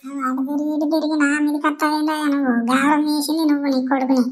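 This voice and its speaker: A woman's voice: high voice, little pitch movement, racing, close to an everyday voice. A woman voicing a scolding but doting village mother in a comedy cartoon, chiding with a Telangana accent. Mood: nagging. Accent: Telangana Telugu. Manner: nagging, chiding. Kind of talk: Telugu comedy cartoon dialogue.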